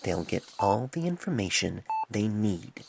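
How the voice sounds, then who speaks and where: An amateur narrator voicing a calm, matter-of-fact senior female pony doctor, recorded on a phone. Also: low-pitched, unhurried, creaky, recorded from a distance, noisy background, exaggerated voice. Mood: cool, even.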